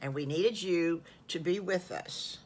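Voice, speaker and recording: An older woman voicing a gentle farmer husband in a storybook tale, soothing and patient. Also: lowish voice, unhurried, a little hiss or hum, lightly acted.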